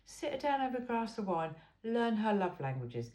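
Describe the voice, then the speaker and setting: Woman reading aloud with very lively intonation. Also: mid-range voice, normal speed. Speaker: amateur reader of a book aloud. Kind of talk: read-aloud of an informal self-help book.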